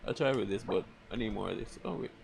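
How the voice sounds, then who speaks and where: Creaky-voiced male streamer, noisy mic. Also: fairly low pitch, animated, quick pace. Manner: informal, thinking aloud. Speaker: live game streamer. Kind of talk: casual chat while gaming. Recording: clearly noisy stream microphone.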